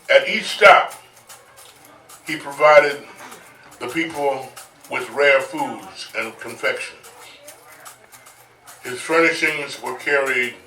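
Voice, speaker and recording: A man narrating history on stage in a deliberate, emphatic way, like a live recitation. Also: medium pitch, sharp tone, slight background noise. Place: echoey venue, live stage performance. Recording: echoey, distant mic, faint music.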